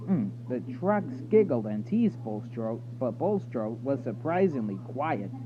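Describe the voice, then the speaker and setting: A man reading a children's story aloud as narrator. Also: mid-range voice. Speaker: story reader. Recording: narrow phone-like sound with loud noise and hum.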